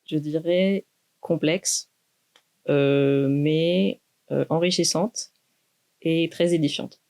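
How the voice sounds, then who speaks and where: A young woman, a doctoral student speaking in a thoughtful, measured way with many pauses in a university podcast interview. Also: low-pitched, fairly even intonation, darkish tone. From Greece. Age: 20s. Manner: measured, thoughtful, with frequent hesitations.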